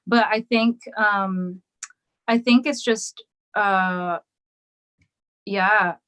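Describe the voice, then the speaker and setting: A woman guest on an interview podcast, talking casually and thoughtfully. She hesitates often, restarting her sentences, at an average pitch for a woman. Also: steady tone, extremely slow, fairly bright tone. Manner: reflective, hesitant, many ums and restarts. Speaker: guest musician.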